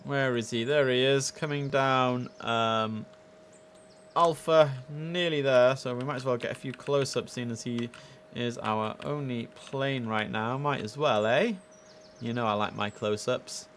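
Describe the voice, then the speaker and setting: A man's voice: medium pitch, measured pace, a bit bright. British man streaming, with background noise. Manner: chatty, informal, addresses viewers directly. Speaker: livestream host and gamer. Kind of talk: casual game stream commentary. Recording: clear background noise and ambience.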